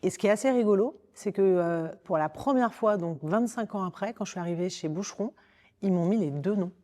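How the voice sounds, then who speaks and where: A woman's voice: mid-range voice, brisk, mellow. A woman in her forties with a Parisian French accent, speaking informally in an interview and often rephrasing as she explains. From Paris, France. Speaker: podcast guest, communications director. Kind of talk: conversational interview, recounting own career.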